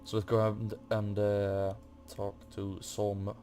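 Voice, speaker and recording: Low, flat-toned man doing game commentary. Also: takes it slowly, soft background music. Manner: hesitant, muttering to himself. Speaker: gaming video host. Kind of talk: casual game walkthrough commentary, reading on-screen text. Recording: faint noise from a fan. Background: computer or room fan.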